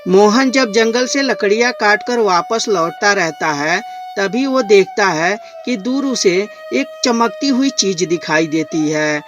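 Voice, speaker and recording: A woman's voice: mid-range voice, lively intonation, slow pace, hardly any pauses, lo-fi sound. A woman narrating a scripted children's moral story. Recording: music behind the voice.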